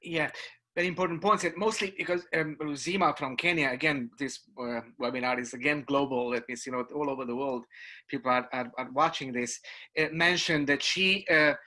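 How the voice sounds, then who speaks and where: A man's voice: on the high side, a little fast, sharp tone, low-quality recording. A man moderating a global webinar panel, speaking politely and hesitantly with many fillers.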